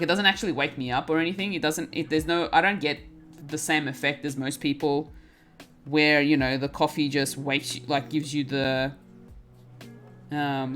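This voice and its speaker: Young woman, low voice, easygoing chatty streamer who laughs a lot and trips over her words; faint music underneath. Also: sharp tone. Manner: chatty, giggly, repeats and restarts words. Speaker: craft streamer. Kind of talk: casual chat while crafting, reading chat.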